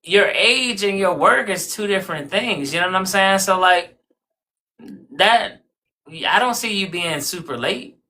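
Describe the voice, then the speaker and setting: A man's voice: high-pitched, lively intonation, a little slow, bright, forceful tone. A man in his thirties, a barber guest on a podcast, talking casually and reflectively with lots of "you know what I mean.". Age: 30s. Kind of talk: casual interview chat.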